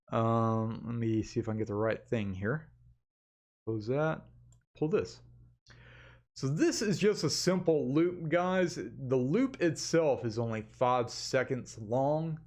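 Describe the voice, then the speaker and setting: A man hosting a casual update vlog, talking informally and in a rambling way to his viewers as an animator. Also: medium pitch. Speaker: animator and channel host.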